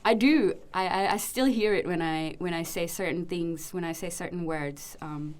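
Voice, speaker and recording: A woman's voice: lowish voice, normal speed. A young woman from Indonesia who speaks with an Australian accent, telling her story reflectively in casual podcast chat, with many ums and restarts. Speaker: podcast co-host. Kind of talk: casual conversation.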